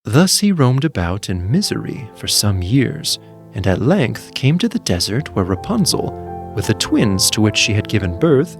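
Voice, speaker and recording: A man's voice: fairly low pitch, sing-song, a little slow. A man narrating a scripted fairytale as a storyteller. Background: soft background music.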